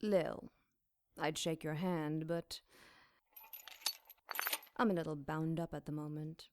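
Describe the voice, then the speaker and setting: A woman's voice: low voice, fairly even intonation, takes it slowly, background noise. An actress playing a captive demon witch in an audio drama, sly, wry and defiant in a dryly mocking way. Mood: weary, wry.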